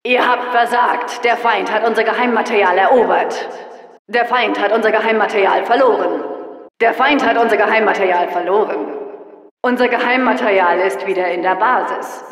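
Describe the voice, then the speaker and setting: A woman's voice: mid-range voice, close to an everyday voice. Woman acting a stern, echoing military game announcer. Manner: clipped, authoritative, deliberate. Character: stern, commanding female military announcer, cold and demanding. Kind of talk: scripted video game announcements. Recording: echoing hall, distant loudspeaker sound.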